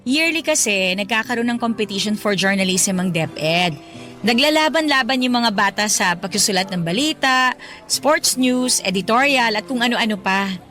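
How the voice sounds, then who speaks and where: A woman's voice: a little high, animated, moderate pace, bright, forceful tone, soft background music. A woman playing a kind, encouraging schoolteacher who talks in a friendly, reassuring way in a radio drama. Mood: friendly, grateful. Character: kind, encouraging female schoolteacher, tactfully curious.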